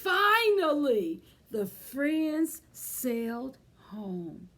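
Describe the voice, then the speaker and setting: Female children's storyteller, high voice, unhurried pace, expressive, speaking directly to little kids. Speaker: children's librarian leading storytime. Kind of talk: children's storytime read-aloud and chat.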